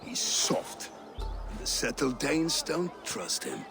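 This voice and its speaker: An actor playing a world-weary, cynical Danish steward with a sardonic drawl in a video game scene. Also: male voice, on the high side, slow, gravelly, fairly bright tone, soft background music, exaggerated voice. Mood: disdainful, weary. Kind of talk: dramatic video game acting.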